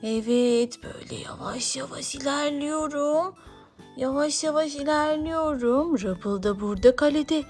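A woman's voice: sits high, unhurried, darkish tone, faint music behind. A woman talking as a mother playing toys with her child, narrating the play in a coaxing, encouraging way.

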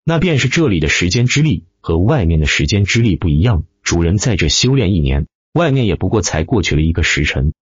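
A man's voice: sits low, fairly quick, few pauses, husky, no room sound, muffled recording, full character voice. A man voicing a composed, respectful little boy spirit of an ancient tower in an audiobook. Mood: earnest. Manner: respectful, steady, explanatory.

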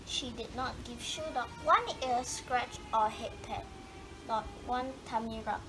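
A woman's voice: a little high, measured pace, over music. A woman reading a children's picture book aloud expressively, drawing out words for dramatic emphasis. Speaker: storybook reader. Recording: clearly noisy home recording.

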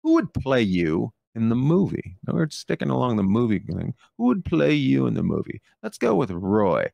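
A man in his forties hosting a comedy panel over a video call, with a gravelly edge to his voice. His pitch swings widely as he teases and mocks his guests. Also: wildly swooping pitch, normal speed, dark tone, dry recording. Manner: teasing, mocking. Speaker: comedy show host.

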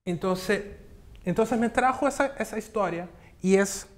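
Slow, halting man in a TV studio. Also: high voice. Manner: slow, thoughtful, halting with repeats. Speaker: studio guest. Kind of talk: studio interview talk. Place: TV studio set.

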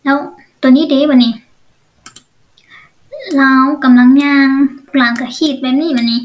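A woman's voice: high voice, steady tone, normal speed, low-quality recording. A woman with a Lao accent teaching English in an online lesson, explaining step by step and often asking check questions.

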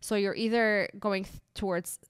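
A woman's voice: mid-range voice, steady tone, normal speed, smooth, no echo. A woman in her thirties from Lebanon, co-hosting a podcast in a small studio and explaining ideas thoughtfully, with frequent restarts. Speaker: podcast co-host. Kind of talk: casual podcast conversation. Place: small studio with brick wall and couch. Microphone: studio mic on boom arm.

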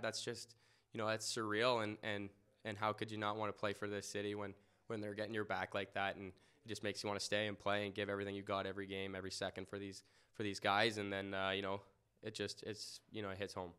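A young man answering reporters after a game in an indoor press room, humble and halting, with many fillers and restarts. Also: fairly low pitch, monotone, a bit bright, smooth. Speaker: lacrosse goalie. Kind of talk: post-game press interview. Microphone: podium mic in front.

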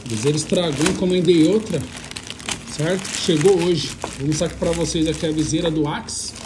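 A man's voice: on the high side, normal speed. A Brazilian man giving an informal hands-on tutorial in short phrases with frequent little check-in tags. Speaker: motovlogger demonstrating a how-to. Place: indoors, tiled kitchen. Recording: noisy phone recording with handling rustle.

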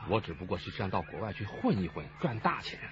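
An actor playing a shady, bossy middle-aged fugitive in a radio drama. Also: male voice, sits low, very animated, fast talker, highs cut off, noisy background. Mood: scheming, secretive.

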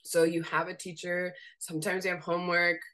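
A young woman from Seattle with a slightly raspy voice, chatting casually as a guest on a video call and laughing often as she talks. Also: fairly low pitch, brisk, rough recording. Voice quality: raspy voice today. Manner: laughs often while talking, relaxed and candid. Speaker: guest, graphic designer. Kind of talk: casual interview chat. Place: home room.

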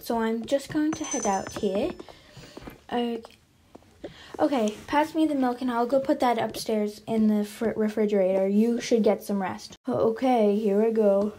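A woman improvising a role-play story as a mother character, chatty and play-acting, sometimes hushed. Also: on the high side, noisy background. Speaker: role-play video narrator. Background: background music.

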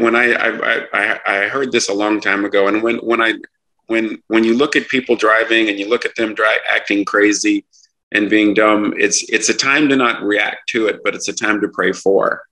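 Man, truck driver guest, earnest, stumbling restarts, low bright voice. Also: fairly even intonation, poor audio. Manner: earnest, halting with many restarts. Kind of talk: casual interview talk.

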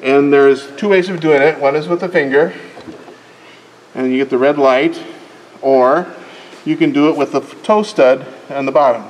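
Older guy explaining organ buttons, slightly high voice, long church echo, faint room hum. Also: normal speed, long pauses. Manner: plain, patient step-by-step explaining. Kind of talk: casual explanation. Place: large church sanctuary. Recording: reverberant church hall with faint ambience.

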